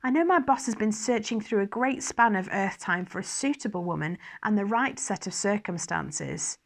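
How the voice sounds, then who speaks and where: A woman's voice: mid-range voice, lively intonation, normal speed, vocal fry. Woman reading as a fussy, exasperated archangel administrator. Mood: anxious, careful. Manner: wry, formal, exasperated, fussy. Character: fretful, dutiful archangel administrator keeping a diary, faintly superior. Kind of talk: first-person dramatised diary reading.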